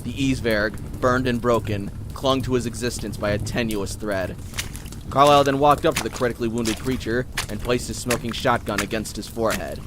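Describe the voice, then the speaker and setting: A man's voice: medium pitch, steady tone, normal speed, noisy background. A man reading scripted, dramatic story narration.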